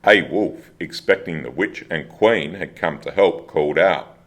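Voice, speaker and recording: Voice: rumbling low, unhurried. A narrator reading scripted storytelling for a children's radio play.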